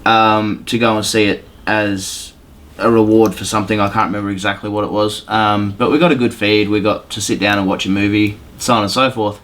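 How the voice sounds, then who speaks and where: Low-pitched man in casual chat. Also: level tone, brisk, a bit bright. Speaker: podcast panelist. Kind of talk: casual podcast chat about films.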